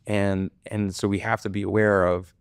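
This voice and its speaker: A man with a fairly low voice co-hosts a podcast. His talk is casual and loose, full of fillers, and the studio sound is dry and close. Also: flat delivery, moderate pace, clear, resonant tone, dry recording. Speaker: podcast co-host. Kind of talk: podcast interview.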